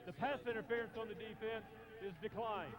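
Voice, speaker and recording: A man's voice: high voice, fairly even intonation, a little fast. A man announcing penalty rulings formally as a football referee.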